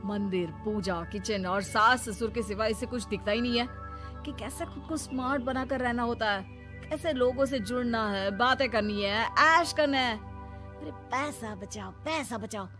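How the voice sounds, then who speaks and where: A woman's voice: a little high, measured pace, over music, natural voice. A woman voicing a selfish, impatient young married man in a family cartoon, whining and dismissive. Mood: exasperated, mocking.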